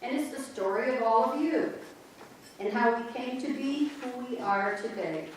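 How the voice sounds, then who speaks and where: A woman narrating a church history play on stage, telling the story slowly and directly to the audience. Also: fairly high pitch, animated, slight background noise. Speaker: narrator in a church reader's theater. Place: large church sanctuary. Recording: very echoey church from far off.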